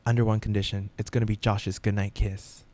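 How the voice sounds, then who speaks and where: A man working as a radio DJ, chatting as a podcast guest in an animated, expressive way. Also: lowish voice, a little fast, noisy background. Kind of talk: casual podcast interview.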